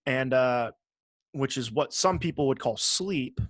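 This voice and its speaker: A man's voice: mid-range voice, animated, measured pace, a bit bright, dry recording. A man co-hosting a podcast, chatting casually about video games with wry mock-formal phrasing and some swearing. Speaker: podcast co-host.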